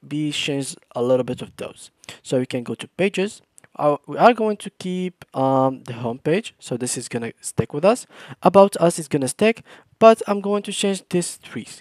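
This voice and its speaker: A man walking viewers step by step through a software tutorial over a screen recording, in plain instructing speech with slightly non-native phrasing. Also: mid-range voice, dry recording. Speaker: tutorial host.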